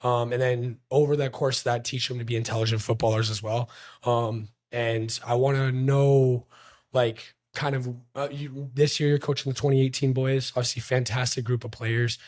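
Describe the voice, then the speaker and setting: A man's voice: medium pitch, fairly even intonation, moderate pace, darkish tone. A young man hosting a casual interview, talking loosely with many fillers and restarts. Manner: rambling, lots of fillers and restarts. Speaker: podcast host.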